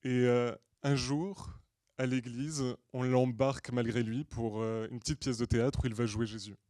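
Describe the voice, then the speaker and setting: A man from Portugal presenting a prepared film pitch as a storyteller, with deliberate, earnest phrasing and hesitant pauses. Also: fairly even intonation, dark tone, no room sound, studio quality. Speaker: screenwriter and director pitching a feature film. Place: screening room at a film school.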